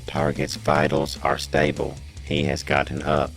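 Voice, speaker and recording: A man voicing an emotionless computer in an audio drama, speaking in a flat, robotic manner. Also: rumbling low, measured pace, creaky, soft background music, exaggerated voice. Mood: impassive. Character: emotionless computer reporting data to its villain master.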